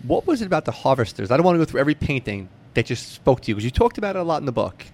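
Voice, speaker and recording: A man's voice: mid-range voice, big pitch swings, racing, darkish tone. A man hosting a casual, chatty interview, informal and slangy, circling back to rephrase his questions. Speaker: interviewer, podcast host.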